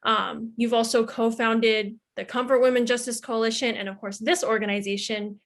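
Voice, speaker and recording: A woman's voice: on the high side, fairly even intonation, normal speed. A woman moderating a panel discussion in a conversational way. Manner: conversational, introducing guests.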